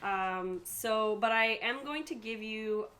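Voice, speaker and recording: A woman's voice: on the high side, slow pace, pushed and bright. A woman speaking casually and conversationally as game master, narrating and explaining the rules of a roleplaying game. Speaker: game master and player in a tabletop roleplaying stream. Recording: faint background noise.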